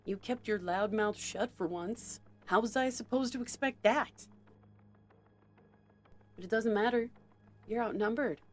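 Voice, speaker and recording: Higher-voiced woman acting a sneering supervillain. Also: unhurried, long pauses, faint music behind, close to an everyday voice. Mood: taunting. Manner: taunting, condescending. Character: contemptuous, menacing adult female supervillain. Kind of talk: scripted audio drama acting.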